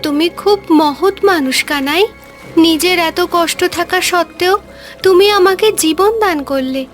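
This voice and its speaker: A woman voicing a gentle, solemn magical mermaid with formal storybook phrasing in a cartoon fairy tale. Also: extremely high pitch, moderate pace, faint music behind. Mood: grateful, solemn.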